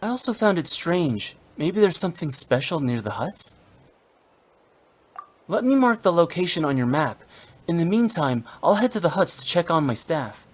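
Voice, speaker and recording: A woman playing a composed, businesslike resort manager in a fantasy game, speaking in a measured, explanatory way. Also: fairly low pitch, sing-song. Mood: thoughtful. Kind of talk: scripted video game dialogue.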